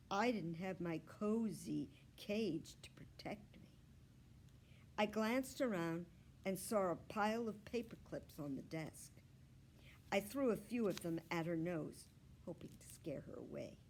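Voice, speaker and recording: Lady reading a kids book aloud, slow and careful, really lively pitch, faint noise behind. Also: low-quality recording. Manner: expressive, deliberate narration. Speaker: library storyteller reading aloud. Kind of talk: children's story read-aloud.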